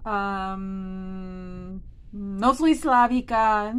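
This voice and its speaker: A woman's voice: medium pitch, steady tone, extremely slow, clean tone. A woman in her thirties from the United States, a native English speaker with an American accent, chatting casually and expressively to camera. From United States, New Jersey. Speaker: vlogger. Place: small room indoors.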